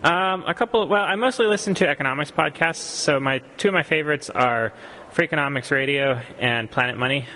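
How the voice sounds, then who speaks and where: A man's voice: mid-range voice, sharp tone, a little hiss or hum, rough recording. A young man from Costa Rica, an exchange student answering radio interview questions thoughtfully, with frequent ums and restarts. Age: young adult.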